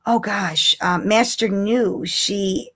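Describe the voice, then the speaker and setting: A woman's voice: mid-range voice, steady tone, takes it slowly. Woman in casual, emphatic fan discussion. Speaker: podcast co-host.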